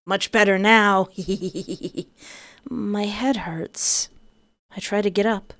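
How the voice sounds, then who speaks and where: A woman's voice: medium pitch, fairly even intonation, very slow, fairly bright tone, dry recording. A young woman reading a visual novel aloud and breaking in with playful, joking asides. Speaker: game streamer.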